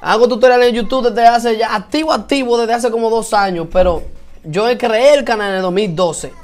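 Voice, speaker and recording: A Dominican man with a fairly high voice for a man, talking casually on a live stream from home. He is chatty and upbeat, greets his viewers by name and answers their comments, and he repeats his points for emphasis. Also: normal speed. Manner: lively and encouraging, repeats himself for emphasis. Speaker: host of a live stream for musicians.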